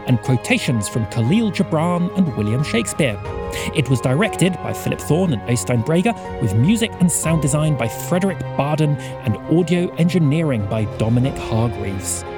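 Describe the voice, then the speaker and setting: Lively male credits announcer over music. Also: fairly high pitch. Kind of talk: scripted narration. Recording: music under the voice.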